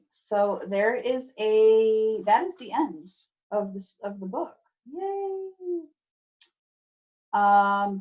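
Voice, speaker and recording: Female teacher, slightly high voice, very unhurried delivery; expressive storybook read-aloud with playful emphasis and chatty asides to pupils. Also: poor audio. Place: remote video class.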